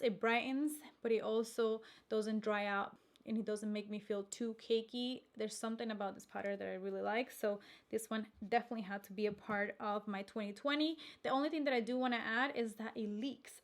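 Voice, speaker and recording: A young woman from Colombia whose first language is Spanish, chatting enthusiastically in a casual product review vlog. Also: a little high. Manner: chatty, enthusiastic, self-correcting. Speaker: beauty vlogger.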